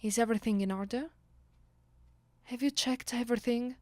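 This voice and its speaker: A woman's voice: a little high, fairly even intonation, moderate pace, no echo, lightly acted. A woman with an Italian accent playing a commanding, teasing vampire noblewoman in an ASMR roleplay, imperious and playful, often slipping toward a whisper. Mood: instructive, imperious. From Italy. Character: commanding, teasing, seductive aristocratic female vampire. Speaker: voice actress.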